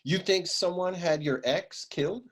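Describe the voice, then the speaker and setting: A man playing a hard-boiled, wisecracking private detective in a comic noir radio serial, deadpan and husky. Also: sits high, moderate pace, close to an everyday voice. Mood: puzzled, deadpan. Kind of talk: parody noir radio drama acting.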